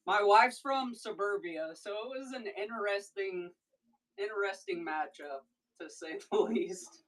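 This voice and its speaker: Slow-talking deep-voiced woman streaming. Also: poor audio. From Missouri. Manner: chatty, breaks off mid-thought to handle tasks. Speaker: online seller streaming a live sale. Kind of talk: casual livestream chat while packing orders.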